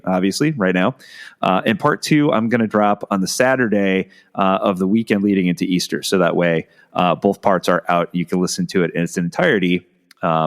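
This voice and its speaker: A man hosting a podcast, slightly hoarse from a cold, talking in a casual, rambling way with many fillers. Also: mid-range voice. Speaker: podcast host. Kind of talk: casual solo podcast intro.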